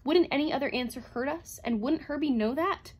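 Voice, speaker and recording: A woman's voice: high voice, a little fast, pitched above the performer's own voice, natural voice. A woman voicing a sardonic, bitter female robot psychologist with a cutting, imperious manner, in a story reading. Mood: sarcastic.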